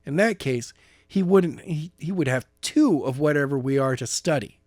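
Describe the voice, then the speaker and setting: A man's voice: on the high side, animated, moderate pace, darkish tone, no echo, clean studio sound. A man reading a stubborn, defiant young man with powers in his own plain voice, pushing his point insistently. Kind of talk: amateur audiobook reading.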